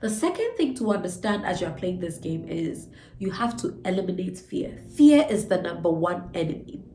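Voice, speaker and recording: A woman's voice: on the low side, very animated, moderate pace, dark tone. Nasal woman with a cold, encouraging. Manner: earnest, encouraging, stretches words for emphasis. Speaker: channel host. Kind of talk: motivational book breakdown. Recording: echoey room with faint music.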